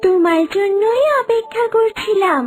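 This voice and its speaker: A performer voicing a kind magical talking fish in a children's cartoon, with a gentle, measured delivery. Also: extremely high pitch, over music. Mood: caring. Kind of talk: children's cartoon story dialogue.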